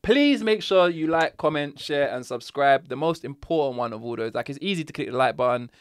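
A man's voice: on the high side, expressive, brisk, clean tone. A man co-hosting a podcast in casual chat, playful and teasing, stretching words out for emphasis. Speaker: podcast co-host.

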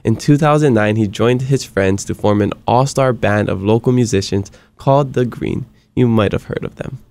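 A man's voice: fairly low pitch, moderate pace, a little mellow. A young man from Hawaii hosting a casual podcast interview in a small studio, upbeat and joking, moving between English and Hawaiian. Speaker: podcast host. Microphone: studio mic on boom arm.

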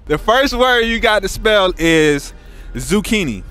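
A man's voice: high-pitched, sing-song, unhurried, fairly bright tone, smooth. A man hosting a street trivia game, talking in a playful, slangy way and laughing often. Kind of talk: casual hype street hosting. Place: outdoors on a city street.

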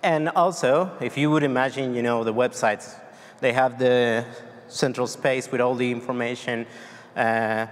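A man from Argentina in his thirties with a Spanish accent, giving a tech conference talk with many fillers and restarts. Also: mid-range voice. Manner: loose, hesitant delivery with many fillers and restarts. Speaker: conference speaker. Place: large dark conference hall on stage. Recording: long hall reverb.

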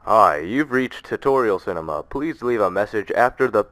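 A man's voice: fairly low pitch, lively intonation, moderate pace, fairly bright tone, no echo. A young man reading a voicemail greeting through a phone-line effect. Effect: phone-line and radio filter. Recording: tinny filtered phone-line sound.

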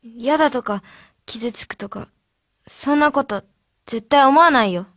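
An actress playing an impulsive, emotional and loyal high school girl, pleading insistently in a radio drama. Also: measured pace, a bit bright, no echo, clean studio sound. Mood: upset, insistent.

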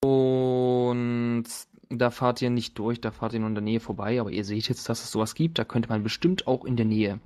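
A man narrating as game master of a tabletop role-playing session in a casual, joking, halting way. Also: medium pitch, fairly even intonation, darkish tone.

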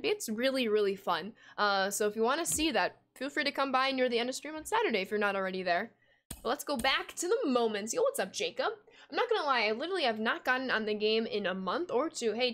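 Young woman streamer, early twenties, slightly high voice, chatty, reads chat aloud, gasps and laughs, desk mic at home. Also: fairly bright tone. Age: 20s. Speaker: gaming streamer. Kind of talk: casual live-stream chat while playing.

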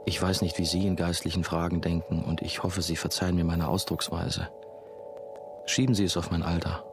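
Brooding novice monk telling his story, really low voice, dry n even, music behind. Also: brisk. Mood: musing, dry. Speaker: narrator and main character. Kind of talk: first-person radio play narration.

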